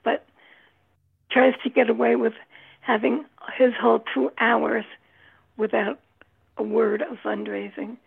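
A woman with a gravelly, husky voice, chatting casually in a group over a thin phone-like line. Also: on the high side, little pitch movement, a little slow, pauses often.

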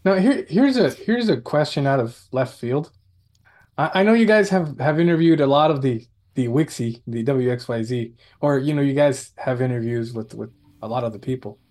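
A man's voice: medium pitch, normal speed, darkish tone. A man chatting casually in a rambling way, often repeating his phrases as he goes. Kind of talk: casual chat.

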